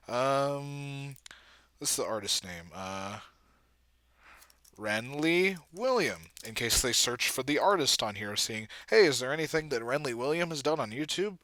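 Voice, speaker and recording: Male video creator, bright crisp delivery, dry close sound, casual screen-recording chatter, self-deprecating. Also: medium pitch, dry recording. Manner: self-deprecating, muttering asides while typing. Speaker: video creator, comic dub editor. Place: indoors at a computer.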